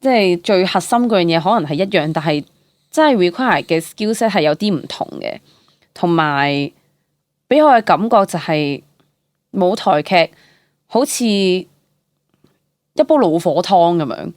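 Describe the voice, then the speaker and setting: A young woman with a Hong Kong Cantonese accent chats as an interview guest, telling stories in an animated, candid way and dropping in English words. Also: lowish voice, unhurried, pauses often, no room sound. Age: young adult. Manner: animated, chatty, mixes English words into speech. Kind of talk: casual, candid storytelling.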